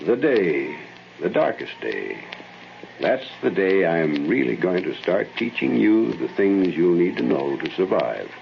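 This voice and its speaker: A muffled, faintly noisy vintage radio recording of an aging man with a creaky, low voice. a fatherly, troubled scientist mentor. His delivery is theatrical and earnest, in 1940s radio drama fashion. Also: big pitch swings, measured pace, full character voice. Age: older.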